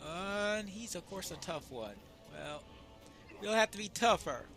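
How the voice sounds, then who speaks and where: A man's voice: sits high, lively intonation, a little slow, sharp tone. A man giving casual video game commentary, with musing, understated asides. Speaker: gamer, playthrough commentator. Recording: faint game music behind.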